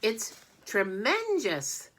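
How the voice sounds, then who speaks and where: Woman playing a brash young farm boy, high-pitched, loud, exclaiming, storybook character. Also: huge pitch swings, very slow, slight background noise, full character voice. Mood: eager.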